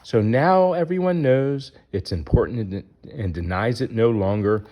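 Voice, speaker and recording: A man's voice: mid-range voice, lively intonation, unhurried, warm tone. A man reading a rhyming children's picture book aloud as its narrator.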